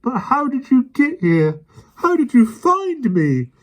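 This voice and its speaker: A man voicing a gentle, soft-hearted policeman who speaks tenderly and with open emotion. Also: medium pitch, sing-song, moderate pace, pitched above the performer's own voice. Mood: overjoyed.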